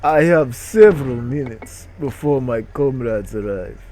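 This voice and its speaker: Man acting out a male alien block, slow halting delivery, raised swooping pitch, comedic storybook reading. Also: lively intonation, warm tone, a little hiss or hum, lightly acted. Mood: suave. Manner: slow, halting broken English. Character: male Tetris block alien, polite, brave, stilted foreigner.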